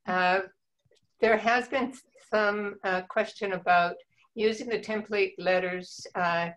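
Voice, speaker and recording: A woman taking part in an online meeting discussion, with hesitant, pausing phrasing. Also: medium pitch, steady tone, a bit bright, dull audio. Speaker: meeting participant asking questions. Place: indoors on a group video call.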